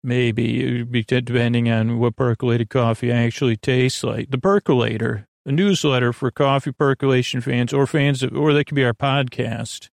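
A man with a mid-pitched, very creaky voice rambles as a sleep podcast host. He is soothing and lulling, drifting between tangents and trailing off mid-sentence. The sound is dry, with no room around it. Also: fairly even intonation, moderate pace, hardly any pauses, dry recording. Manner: meandering, tangential, trailing off and restarting.

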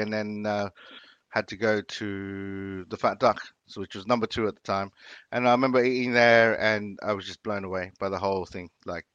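Low-voiced aussie guy chatting in an interview, lots of ums, dry close sound. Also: a bit bright, clear, resonant tone, no echo, lo-fi sound. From Philippines, raised in Sydney. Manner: hesitant, many fillers and restarts. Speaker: chef. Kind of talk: casual interview.

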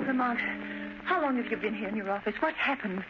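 A woman's voice: medium pitch, expressive, a little fast, over music, close to an everyday voice. An actress playing a detective's concerned, eager female companion in an old-time radio drama, earnest and anxious. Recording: noisy, muffled vintage radio recording.

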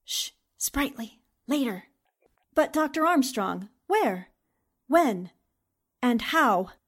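Plucky, commanding girl reporter for 1940s-style radio drama actress, high, lively voice. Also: takes it slowly, slightly rough, full character voice. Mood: intrigued. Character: brisk, eager, commanding female newspaper reporter.

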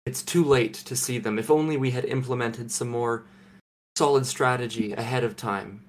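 A young Canadian man co-hosting a podcast from home, deadpan and mock-serious, with halting restarts. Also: medium pitch. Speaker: podcast co-host. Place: home, remote recording.

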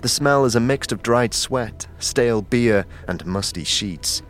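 A man giving measured, scripted narration for a fantasy audio drama. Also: medium pitch, faint music behind.

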